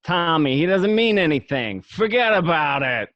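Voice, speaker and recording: A man's voice: on the high side, sing-song, normal speed, fairly bright tone, dry recording. Man as an anxious gangster peacemaker, dry sound. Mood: placating. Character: anxious peacemaking male gangster, placating his friends. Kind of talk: amateur radio play acting. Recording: dull lo-fi home recording.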